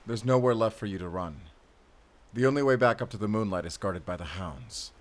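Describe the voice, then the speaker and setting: A man's voice: lowish voice, animated, brisk, lightly acted. A man playing a grim, determined werewolf hunter, speaking intently and commandingly in an audio drama. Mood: grimly determined. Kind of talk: cinematic audio roleplay acting.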